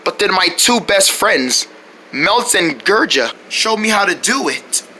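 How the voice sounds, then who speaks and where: A performer voicing an eager young male parrot, speaking slowly and earnestly in a homemade pretend-play story. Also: mid-range voice, big pitch swings, bright, forceful tone, a little hiss or hum, poor audio.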